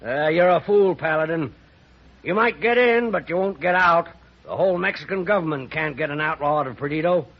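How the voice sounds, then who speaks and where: Gravelly, blustering old-west boss. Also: male voice, fairly high pitch, brisk. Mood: stubborn, bitter. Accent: American Western. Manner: blustering, scornful. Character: gruff, bitter, stubborn Old West father and boss. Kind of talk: old-time radio western acting. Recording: muffled vintage radio recording.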